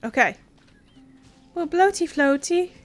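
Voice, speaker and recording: Casting: female let's play host, high-pitched, informal and playful, talks to herself over light background music. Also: animated, slow. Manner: playful, muttering to self. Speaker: gaming streamer. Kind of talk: casual let's play commentary.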